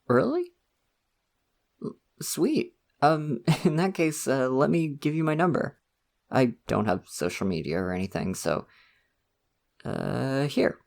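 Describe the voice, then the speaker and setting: Man playing bashful male college crush, ASMR roleplay, casual and rambling, awkwardly flirty, animated intonation, crackly vocal fry. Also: mid-range voice, full character voice. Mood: pleased, awkward. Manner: hesitant, rambling, chuckling self-consciously. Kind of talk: intimate ASMR roleplay, casual conversational acting.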